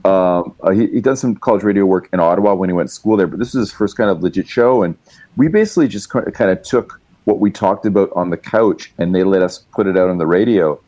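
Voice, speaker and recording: A man with a very low, deep voice spins long anecdotes in relaxed conversation. He wanders through fillers and restarts, picking up speed when he gets animated. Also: fast. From Canada. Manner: rambling, animated storyteller with many fillers. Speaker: guest musician and writer. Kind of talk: casual storytelling chat.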